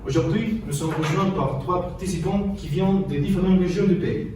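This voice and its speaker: A man's voice: sits high, a little fast, darkish tone. A man acting as game show host, presenting a staged quiz, recorded in a distant, noisy, echoey room. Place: large echoey room, far from the microphone.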